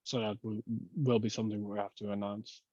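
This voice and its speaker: A man talking casually and haltingly through technical matters in an online meeting. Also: on the low side, little pitch movement, a little mellow, dry recording. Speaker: working group member giving a technical update. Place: online conference call. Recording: slightly dull, lo-fi call audio.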